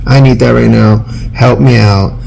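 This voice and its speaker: A man's voice: medium pitch, steady tone, measured pace, gravelly, a little mellow, a little hiss or hum, poor audio. A man in his forties, a teacher, giving an informal, rambling phonics lesson and often correcting himself. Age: 40s.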